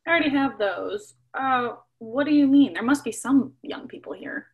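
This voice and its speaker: A woman's voice: high-pitched, expressive, normal speed, narrow, tinny audio. A young woman in her twenties playing a cheerful new arrival who works as a data analyst, chatty and easygoing, on a video call. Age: 20s.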